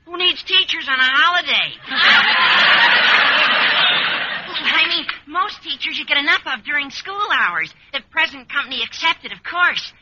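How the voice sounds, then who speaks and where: A man playing an eager, guileless high-school boy in an old radio comedy, gushing earnestly with an adolescent crack in his delivery. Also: animated, measured pace, long pauses, noisy background. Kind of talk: 1940s-50s radio sitcom acting. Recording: muffled vintage radio recording.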